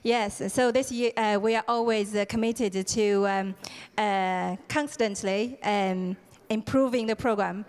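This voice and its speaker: Lady welcoming a crowd, lots of ums, clear voice, big hall reverb. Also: medium pitch, fairly even intonation, measured pace. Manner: hesitant, many fillers. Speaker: program organizer. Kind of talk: opening ceremony address.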